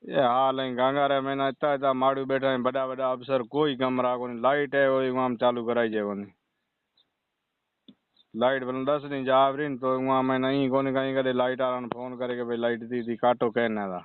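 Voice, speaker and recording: A man's voice: mid-range voice, level tone, moderate pace, clear, resonant tone, no room sound. A man with a Rajasthani accent making an exasperated, insistent complaint as a customer, in colloquial dialect, over the phone. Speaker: customer phoning in a power-cut complaint. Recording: muffled, band-limited phone call recording.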